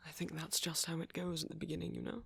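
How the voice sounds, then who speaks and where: An ancient vampire gentleman, acted by a man for an ASMR roleplay, speaks very close and dry. His voice is breathy and husky with a gravelly fry, often slipping toward a whisper. He is courteous, friendly and teasingly flirtatious, with soft hesitations. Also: a little high, monotone, fast talker, dry recording, full character voice. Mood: tender, wistful. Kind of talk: ASMR roleplay monologue, intimate and conversational.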